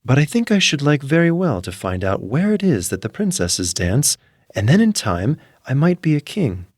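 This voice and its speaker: A man's voice: mid-range voice, big pitch swings, moderate pace, a little mellow, no echo, clean studio sound, pitched above the performer's own voice. A man voicing a humble, plain-spoken old soldier musing hopefully in a fairy tale.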